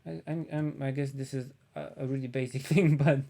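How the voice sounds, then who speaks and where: A man from Poland with a Polish accent, chatting casually on a live stream as he paints, thinking aloud with frequent pauses. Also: medium pitch, steady tone, gravelly, warm tone.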